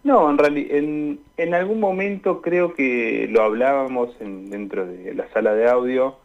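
A young Argentine man answering questions in a conversational radio interview, speaking in a hesitant, halting way. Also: mid-range voice, creaky. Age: young adult. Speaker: interview guest, student radio drama maker. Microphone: remote call into a radio show. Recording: dull, lo-fi call-in sound.

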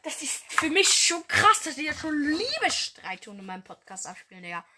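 A woman's voice: high voice, big pitch swings, a little fast, bright, forceful tone. A young woman hosting her own fan podcast, rambling casually with lots of fillers and slang, her nose stuffed up from a cold. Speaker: podcast host.

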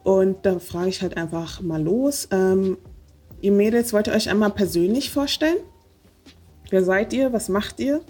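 Husky-voiced woman hosting a podcast. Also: medium pitch, measured pace, darkish tone. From Germany. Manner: hesitant, many fillers. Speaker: podcast host. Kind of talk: casual podcast interview.

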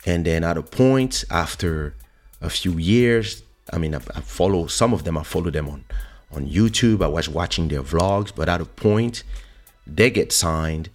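Deep-voiced man over music. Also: unhurried. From born in Africa, grew up in France. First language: French. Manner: emphatic, repeats words, rhetorical questions. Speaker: online show host. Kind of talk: casual opinionated monologue to viewers.

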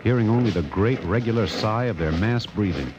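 An actor playing a radio announcer describing a parade live, in a 1950s radio drama. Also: male voice, on the low side, fairly quick, dull audio, natural voice. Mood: awed. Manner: excited live commentary, rising to alarm. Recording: old radio broadcast with loud noise.

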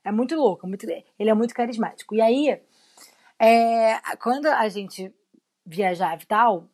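A woman's voice: on the high side, normal speed, darkish tone. A young woman with a Rio de Janeiro Brazilian accent, chatting casually and telling anecdotes in slangy speech, acting out what people said. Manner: slangy storyteller, acts out quoted lines. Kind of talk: casual chat, animated anecdotes.

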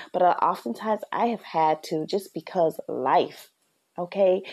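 Soft-spoken, earnest woman podcast host, a bit low-pitched, chatty parenting advice. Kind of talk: casual advice talk.

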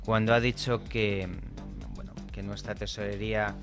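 A man's voice: fairly low pitch, little pitch movement, normal speed, over music. A man from Spain with a Spanish accent, a guest in a studio interview, explaining things patiently and checking that he is understood. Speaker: guest, communications director. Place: studio table.

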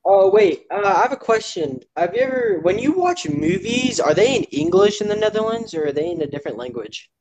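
A fairly high-voiced man hosts a relaxed podcast over an online call. He is playful and curious, firing off questions to his guests. The line sometimes breaks up. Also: fairly quick, gravelly, hardly any pauses, over a noisy crowd, poor audio. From Mexico. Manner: playful, upbeat, asks lots of questions. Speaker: podcast host. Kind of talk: casual chat.

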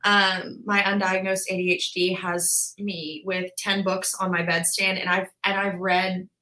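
Woman, 20s, podcast guest; bright tone, talkative, filler-heavy explainer. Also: on the low side, flat delivery, a little slow, poor audio. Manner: chatty, explains with lots of "like" and "um". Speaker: podcast guest, physical therapist. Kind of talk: casual interview chat.

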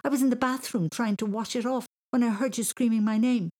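Caring older girl for a storybook, read by a woman: creaky voice, affectionate, playful, gently teasing. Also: low voice, lively intonation, measured pace, no echo, studio quality, exaggerated voice. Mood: reassuring. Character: kind, teasing older girl looking after little boy. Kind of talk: children's story reading.

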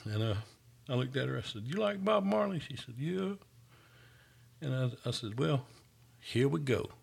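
A man's voice: mid-range voice, expressive, moderate pace, creaky, breathy edge. A man who works as a paramedic, telling stories in an earnest, easygoing way during a casual chat. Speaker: paramedic, podcast host.

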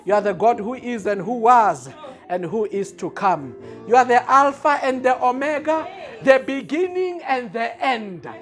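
Man, very high voice, slow, impassioned prayer, soft music behind. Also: animated. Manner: impassioned, repetitive, often shouting praises. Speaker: pastor leading prayer. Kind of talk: fervent spoken prayer and worship.

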